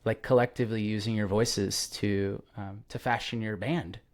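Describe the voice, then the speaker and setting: A man's voice: fairly low pitch, steady tone, normal speed. A young man hosting a podcast, chatting casually and enthusiastically, with lots of fillers and restarts. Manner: enthusiastic, rambling with many fillers. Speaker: podcast host. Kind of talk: casual interview chat.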